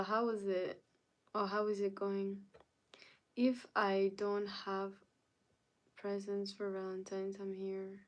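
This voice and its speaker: A young woman from Canada hosts a relaxed live stream, talking at an unhurried, drawn-out pace. She greets viewers one by one and replies to their chat comments in an easygoing, conversational way. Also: medium pitch. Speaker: livestreamer.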